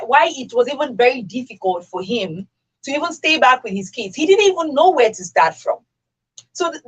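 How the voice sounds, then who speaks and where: A woman's voice: fairly high pitch, husky, rough recording. A Nigerian woman hosting a radio talk discussion, speaking emphatically and with strong opinions, repeating phrases to drive her points home. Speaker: radio show host.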